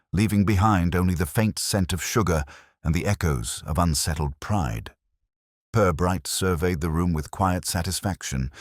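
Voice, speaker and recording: Very deep-voiced male storyteller. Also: no room sound, studio quality. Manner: measured, literary storytelling. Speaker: narrator. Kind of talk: scripted audiobook narration of a gentle mystery.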